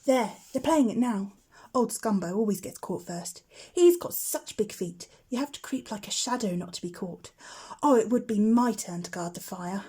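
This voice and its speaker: A woman's voice: mid-range voice, lively intonation, moderate pace, husky. A woman voicing a cheerful, teasing older boy, a matter-of-fact scout in a storybook. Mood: enthusiastic. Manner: breezy, exclaiming, gently mocking.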